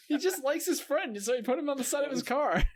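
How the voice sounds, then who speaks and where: A man telling anecdotes in a casual, animated podcast chat. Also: extremely high pitch, a little fast.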